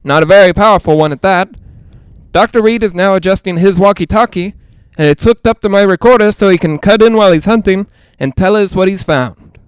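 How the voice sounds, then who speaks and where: A man playing an excitable, skeptical reporter in a 1940s-style radio drama, hesitant and stammering when rattled. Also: sits high, a little fast, smooth, dry recording, close to an everyday voice, low-quality recording. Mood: brisk narrating. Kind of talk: 1940s radio drama acting, live on-the-scene commentary.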